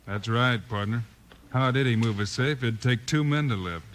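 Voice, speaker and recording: A man playing a steady, firm frontier marshal with an American accent in a 1950s radio western. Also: medium pitch, normal speed, lightly acted. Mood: resolute. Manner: firm, decisive. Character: steady, decisive male frontier marshal hero. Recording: slightly noisy vintage radio recording.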